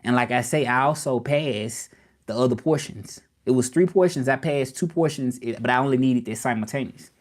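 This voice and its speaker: A man with a Southern drawl from Memphis talks casually to a live stream audience. He rambles, repeats himself and keeps turning questions back to the viewers at a mid-range pitch. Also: normal speed. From Memphis, Tennessee, US South. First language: English.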